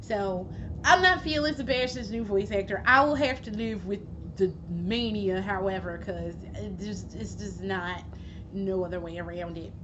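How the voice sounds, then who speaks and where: A woman vlogging at home, hoarse from losing her voice, rambling casually with plenty of swearing and fillers. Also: medium pitch, normal speed. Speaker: gaming vlogger. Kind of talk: casual chat. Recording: dull lo-fi recording with steady background noise.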